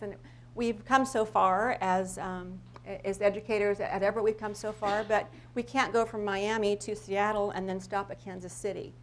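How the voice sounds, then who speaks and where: An American woman giving an informal live presentation as a school principal, telling stories in a conversational, rambling way and often rephrasing mid-sentence. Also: medium pitch. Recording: live talk with background noise and hum.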